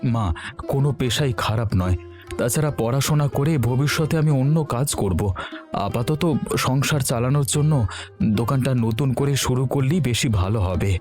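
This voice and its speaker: A man's voice: medium pitch, normal speed, close to an everyday voice. A man playing an earnest, dutiful young village son in a cartoon fairy tale, speaking politely. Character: earnest, dutiful young student son turned fritter seller. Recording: faint music bed.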